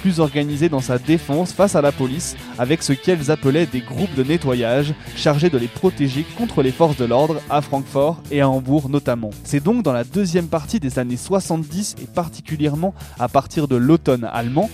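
A man's voice: a little high, normal speed, hardly any pauses, mellow. A man reading a scripted documentary narration as a radio narrator. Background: music with guitar.

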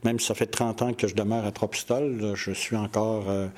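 Older man, low and unhurried. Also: fairly even intonation, a little mellow. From Quebec, Canada. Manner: hesitant, pauses often with many fillers. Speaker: storyteller and festival organizer. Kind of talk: relaxed interview conversation.

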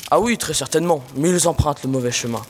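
A man's voice: a little high, quick pace, slight background noise, close to an everyday voice. A teenage boy playing a pompous, grumbling old village priest in a student audio drama. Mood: disdainful.

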